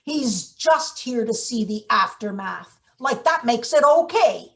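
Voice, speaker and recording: An actress playing a bitter, paranoid, scheming maintenance worker in a play reading, halting and sardonic. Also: female voice, fairly high pitch, expressive, vocal fry, fairly bright tone, full character voice. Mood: accusing, contemptuous. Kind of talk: live table read of a satirical stage play.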